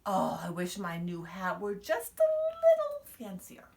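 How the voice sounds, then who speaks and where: A woman's voice: on the low side, huge pitch swings, measured pace, creaky, a little mellow, full character voice. A woman voicing a dreamy, dramatic little girl from a picture book, wistful and exclaiming.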